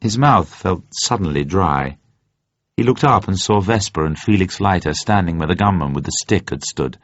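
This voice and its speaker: A man narrating a novel as a scripted dramatic reading, in a measured, deliberate storytelling manner. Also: deep voice, expressive, a bit bright. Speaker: audiobook narrator. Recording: roomy, slightly dull sound.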